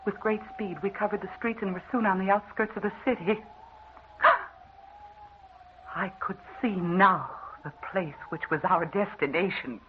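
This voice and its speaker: An actress playing a haunted, weakening wife in a melodramatic 1940s radio drama monologue, anguished and confessional. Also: female voice, mid-range voice, phone-quality sound, natural voice. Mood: anguished struggle. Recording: thin, hissy old radio recording.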